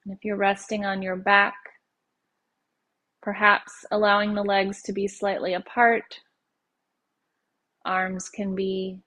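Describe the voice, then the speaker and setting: A woman yoga nidra teacher guides a meditation in a medium-pitched voice. Her intonation stays very even, and she phrases slowly, leaving gentle pauses between instructions.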